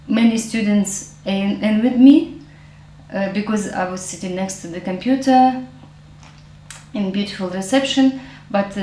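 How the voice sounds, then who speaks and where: Slow-spoken middle-aged woman from Ukraine. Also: medium pitch, a little mellow, a little hiss or hum. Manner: slow, halting, many fillers. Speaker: network marketing distributor. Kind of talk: personal testimonial. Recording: roomy echo, faint music.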